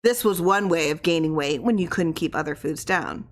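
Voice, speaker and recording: Low-pitched woman reading horror fiction. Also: normal speed. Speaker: audiobook reader. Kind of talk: scripted narration of a horror story.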